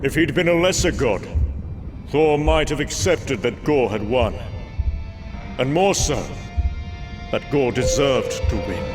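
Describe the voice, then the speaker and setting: A grave epic storyteller, voiced by a man, narrating with solemn weight. The delivery is slow and portentous, over loud plucked-string music. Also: mid-range voice, lively intonation. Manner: measured, portentous. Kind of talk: solemn dramatic scripted narration.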